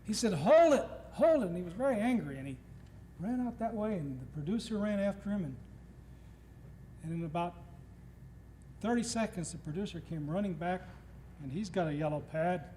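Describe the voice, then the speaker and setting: A man's voice: high-pitched, animated, normal speed, long pauses, dark tone. A middle-aged man from Tennessee giving a humorous lecture, telling stories and acting out what others said. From Nashville, Tennessee. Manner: storytelling, acting out quoted speakers. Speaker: guest lecturer. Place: lecture hall before an audience. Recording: reverberant hall, faint noise, old tape.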